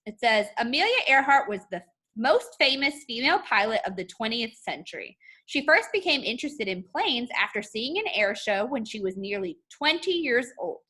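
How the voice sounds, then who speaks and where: A woman teacher reading a picture book aloud to children in an expressive, instructive way. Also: a little high, normal speed, slightly rough. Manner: expressive, instructive, addressing young students. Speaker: art teacher.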